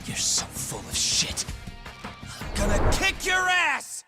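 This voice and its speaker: An actor playing a cocky, hostile teenage school bully in a video game, aggressive and foul-mouthed. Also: male voice, very high voice, huge pitch swings, takes it slowly, over music, exaggerated voice. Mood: menacing. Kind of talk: video game dramatic acting.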